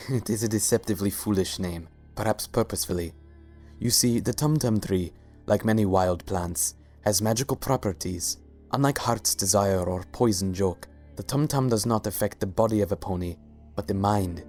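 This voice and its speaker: A man voicing a suave, courtly pony sultan with measured, formal, polite speech in a dramatic reading. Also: on the low side, a little mellow, faint music behind, natural voice. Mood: amused, charming. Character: suave, regal, courtly male alicorn sultan. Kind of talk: fan-fiction dramatic reading.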